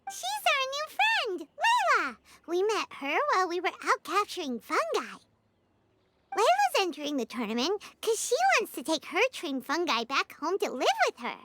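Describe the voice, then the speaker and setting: A woman voicing a small, perky, childlike fairy companion in a video game, squeaky, excitable and blunt. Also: moderate pace, heavy background noise, full character voice. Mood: cheerful.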